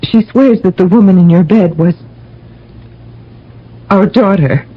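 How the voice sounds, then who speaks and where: A woman's voice: mid-range voice, measured pace, breathy edge. A woman acting as a solemn, grieving older mother in an old-time radio drama, formal and portentous. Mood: solemn, troubled. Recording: dull old radio recording.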